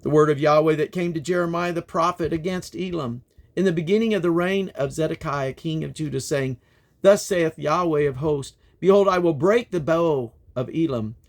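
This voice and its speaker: A man reading scripture aloud in a solemn, declamatory manner, with measured phrasing and plainly spoken references. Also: a little high. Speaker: Bible reader and host.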